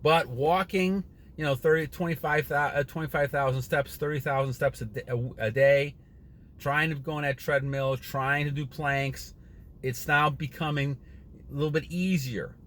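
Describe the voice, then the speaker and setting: A man in his sixties giving a casual motivational monologue to his viewers, rambling and earnest, often repeating himself and clearing his throat. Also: on the high side, steady tone, moderate pace, a bit bright, slight background noise. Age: 60s. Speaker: channel host.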